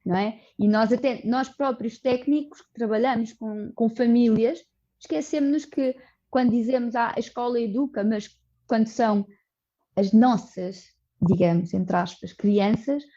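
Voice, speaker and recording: A woman's voice: a little high, normal speed, dark tone. A woman with a European Portuguese accent, speaking as a guest on a podcast about her community project, earnest and explanatory, often restarting her phrases. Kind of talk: conversational podcast interview. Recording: dull, muffled audio.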